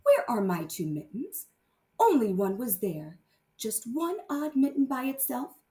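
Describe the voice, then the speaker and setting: A woman teaching primary math to young children, reading aloud and explaining deliberately with sing-song emphasis on key words. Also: on the high side, big pitch swings, mellow, echoey room.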